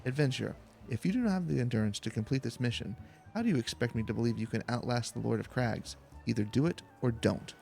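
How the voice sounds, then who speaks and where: A man's voice: mid-range voice, quick pace, gravelly, dark tone, faint music behind, lightly acted. A man voicing a haughty, condescending former mercenary captain who hands out errands in formal fantasy game dialogue. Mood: imperious, impatient.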